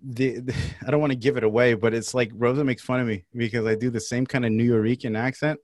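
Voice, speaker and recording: A man's voice: mid-range voice, steady tone, fast, darkish tone, no room sound, clean studio sound. A young man with a Mexican American accent, telling rambling stories in a casual chat, often laughing mid-sentence. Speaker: actor, podcast host.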